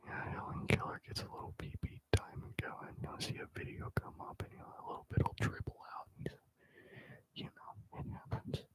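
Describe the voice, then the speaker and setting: A man's voice: fairly quick, rough recording. Whispering man, casual ASMR streamer. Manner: whispering, casual and rambling, slangy. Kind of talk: whispered ASMR live stream chat with viewers. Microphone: close microphone.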